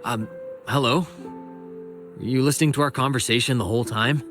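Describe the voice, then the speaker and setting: A man's voice: mid-range voice, steady tone, brisk, over music, natural voice. A man playing an easygoing, slightly awkward young researcher in a video game, friendly and a little hesitant. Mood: flustered, curious. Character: easygoing, optimistic young male academy researcher, a bit awkward.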